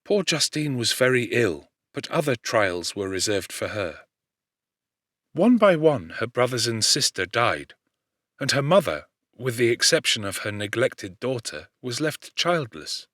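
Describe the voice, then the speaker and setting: A male audiobook narrator reading the letter of an affectionate young woman to her cousin, gentle and expressive. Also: medium pitch, unhurried, dry recording, studio quality. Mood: tender. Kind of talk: audiobook reading of a classic novel.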